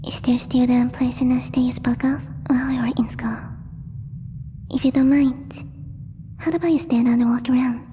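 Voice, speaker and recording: Woman acting as gentle alien girl, soft mellow whisper, stilted formal phrasing, timid and doting, ASMR roleplay, reverberant with faint noise. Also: high-pitched, fairly even intonation, lightly acted. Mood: tender, concerned. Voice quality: breathy, whispery. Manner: halting, formal, stilted phrasing, searching for words.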